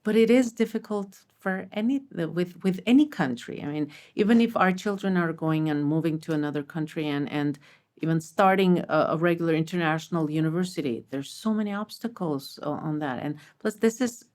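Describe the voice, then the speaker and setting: A woman's voice: deep voice, fairly quick. A middle-aged woman from Armenia, a repat parent, chatting casually in a panel and telling stories with many restarts. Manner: animated storytelling with many restarts.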